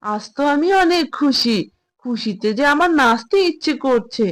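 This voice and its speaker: A woman plays a greedy tiger husband from a cartoon fable, boastful and scornful. The voice is high for an adult and exclaims its way through each phrase, full of self-importance. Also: animated, a bit bright. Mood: gleeful, gloating. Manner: boastful, exclaiming, with drawn-out laughs. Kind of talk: Bangla cartoon fable voice acting.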